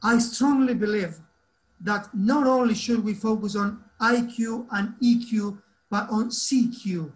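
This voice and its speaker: High-voiced Argentinian man teaching by video call. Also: unhurried, low-quality recording. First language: Spanish. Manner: earnest and animated, asks the group questions. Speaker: teacher trainer hosting an online meeting. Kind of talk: casual talk to a video-call group.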